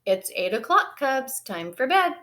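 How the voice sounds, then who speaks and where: A woman's voice: sits high, sing-song, unhurried, bright, forceful tone, pitched above the performer's own voice, exaggerated voice. A woman voicing a firm, fatherly bear who grumbles but plays along, in a children's picture-book read-aloud. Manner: firm, fatherly, groaning when put upon.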